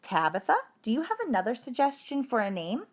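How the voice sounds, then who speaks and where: Storybook schoolteacher, acted by a woman: patient but in charge, very lively intonation. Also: a little high, fairly quick, voice pushed up. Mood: inviting. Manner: firm, questioning classroom address. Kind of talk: children's storybook read-aloud.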